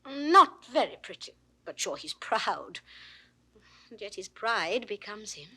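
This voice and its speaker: Bright, swooping young shepherdess. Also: female voice, mid-range voice, very animated, slow, breathy edge, exaggerated voice. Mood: smitten musing. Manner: theatrical, swinging between musing and indignation. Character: proud, fickle young shepherdess smitten with a youth. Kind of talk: classical Shakespearean stage acting. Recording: 1960s studio audio drama recording.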